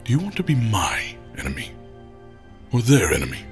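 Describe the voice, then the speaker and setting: An actor playing a commanding male leader who addresses a council in a measured, solemn, quietly menacing way. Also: on the low side, wildly swooping pitch, soft background music. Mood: resolute. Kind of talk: audio drama acting.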